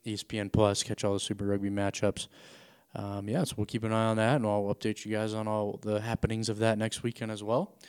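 Male podcast host, low smooth voice, flat delivery, chatty and relaxed. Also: fairly quick. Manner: relaxed, chatty, with frequent restarts and fillers. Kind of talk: casual podcast interview.

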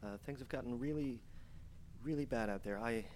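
An actor playing a frightened young man trapped alone, recording a halting, stammering diary in a horror audio drama. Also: mid-range voice, a little mellow, a little hiss or hum, lightly acted. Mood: anxious, tender.

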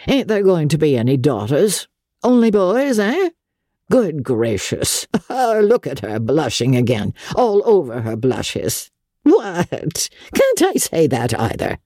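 A woman voicing a shrill old society matriarch in an audiobook, genial, outspoken and bluntly teasing. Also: huge pitch swings, slow, mellow, dry recording, clean studio sound, exaggerated voice. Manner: chuckling, exclaiming, bluntly teasing. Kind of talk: dramatic audiobook character reading.

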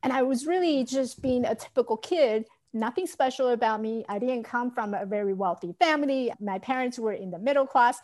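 A woman's voice: fairly high pitch, fairly quick, few pauses, darkish tone. A woman from Taiwan telling her life story as an interview guest, earnest and candid. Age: adult. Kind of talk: personal story in a podcast interview.

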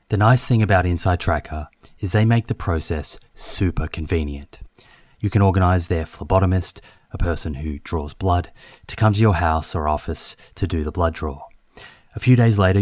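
A man hosting his own podcast, reading sponsor spots smoothly and chatting with a guest in his studio, often repeating a word as he starts a sentence. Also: low voice, no room sound. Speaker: podcast host. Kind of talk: ad reads and interview chat.

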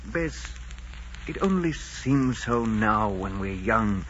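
A man's voice: on the low side, lively intonation, fairly bright tone. Earnest middle-aged father, muffled radio sound. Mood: sorrowful resolve. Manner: earnest, paternal, measured. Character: sorrowful, stern but loving father, an innkeeper. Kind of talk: 1940s radio drama acting. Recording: muffled, noisy 1940s radio recording.

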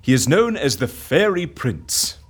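An actor playing a vain, pompous, excitable schemer in an audio comedy, with a dramatic manner. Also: male voice, a little high, unhurried, breathy edge, heavily acted. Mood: grand relish. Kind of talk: audio comedy fiction acting.

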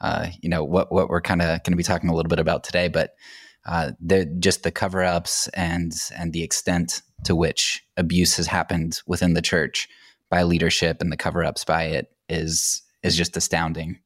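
A man's voice: low-pitched, little pitch movement, normal speed, no room sound, studio quality. An American man speaking as a podcast guest, explaining things in a casual, conversational and anecdotal way. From United States.

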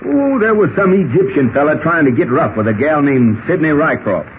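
An actor playing a folksy, talkative, excitable cowboy with an American Western drawl and colorful slang in a 1940s radio style. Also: male voice, fairly high pitch, a little fast. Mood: rambling, explanatory. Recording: tinny, noisy old radio recording.

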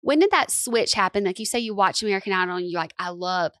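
A woman's voice: mid-range voice, lively intonation, fast, fairly bright tone, no echo, clean studio sound. A young woman hosting a podcast, asking long, rambling questions with eager enthusiasm. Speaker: podcast host. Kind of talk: casual interview chat.

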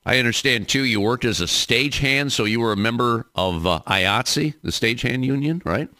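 A man's voice: mid-range voice, normal speed, no room sound, studio quality. A man hosting a union radio talk show, reading sponsor plugs and interviewing a guest in an announcer's manner. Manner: lively announcer delivery, reads sponsor plugs.

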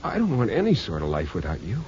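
A man's voice: lowish voice, huge pitch swings, fast talker, darkish tone. An actor in a 1950s radio drama playing an earnest, lovestruck man who stammers and presses urgently. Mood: urgent, ardent. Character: lovestruck, insistent young hospital patient. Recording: noisy, dull 1950s radio recording.

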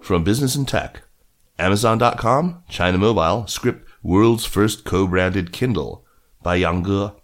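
Very deep-voiced man reading news. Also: measured pace, soft background music. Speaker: podcast host and news reader. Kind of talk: scripted news narration.

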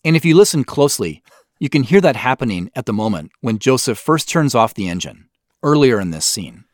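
A man's voice: medium pitch, sing-song, a little fast, no room sound, clean studio sound. A man giving scripted behind-the-scenes commentary as the creator of an audio drama.

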